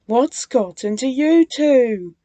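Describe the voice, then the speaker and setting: Woman voicing a calm, mild engine. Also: high voice, slow pace, dry recording, pitched above the performer's own voice. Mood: puzzled.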